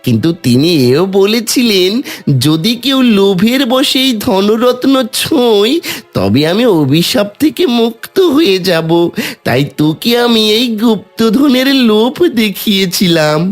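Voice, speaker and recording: A man's voice: sits high, sing-song, measured pace, faint music behind. A man voicing a cursed king turned demon in a cartoon, boastful, scornful and taunting in a grandiose way. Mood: scornful, gloating. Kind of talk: cartoon villain monologue.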